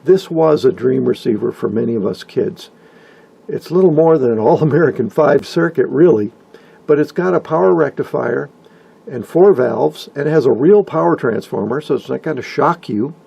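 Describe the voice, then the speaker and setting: Gravelly, husky older man narrating. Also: mid-range voice, expressive, normal speed, mellow, low-quality recording. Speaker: hobbyist video narrator. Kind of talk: informal scripted narration about vintage radios.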